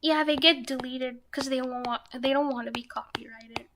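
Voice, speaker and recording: A young woman streaming herself while drawing, talking softly and half-whispering, a little nervous, with pen scratching heard behind her. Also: high-pitched, fairly even intonation, normal speed. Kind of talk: casual live-stream chat while drawing. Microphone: desk microphone that picks up pen scratching. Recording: noisy home stream recording.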